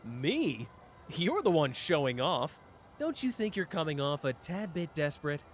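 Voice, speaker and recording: Guy voicing a smug drawling tease, bouncy pitch, faint hiss in back. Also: sing-song, measured pace. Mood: teasing. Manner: teasing, sly, drawling. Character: smug teasing young man, playful schemer. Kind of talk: ASMR roleplay audio drama.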